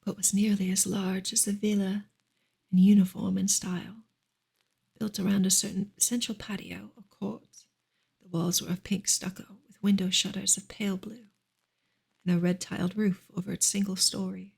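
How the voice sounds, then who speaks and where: A woman reading a novel softly in ASMR style, gentle and unhurried, often slipping into a whisper. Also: medium pitch, level tone. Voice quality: soft, breathy, often dropping into a whisper. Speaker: ASMR reader and streamer.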